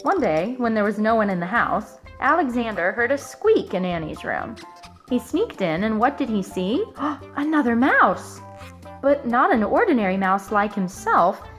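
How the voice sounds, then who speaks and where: Animated woman reading a children's story. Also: on the high side, faint music behind. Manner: animated, dramatic pauses. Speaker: storybook reader. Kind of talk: expressive children's read-aloud narration. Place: living room sofa for the introduction.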